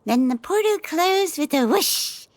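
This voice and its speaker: A woman's voice: extremely high pitch, animated, a little slow, no room sound. A woman playing a bloodthirsty fighter who taunts with playful menace, and narrates. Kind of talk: audio drama acting and narration.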